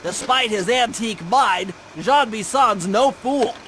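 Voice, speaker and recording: A man's voice: extremely high pitch, measured pace, noisy background. A man voicing a brainy, nerdy mission planner in a video game, with precise, wordy, formal phrasing. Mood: businesslike, urgent.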